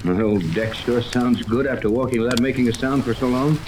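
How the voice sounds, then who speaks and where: An actor playing an earnest, authoritative ship captain in a 1930s radio adventure, explaining things with urgency. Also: male voice, medium pitch, steady tone, fairly quick, natural voice. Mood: tense, excited. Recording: noisy old 1930s radio, rain-like hiss.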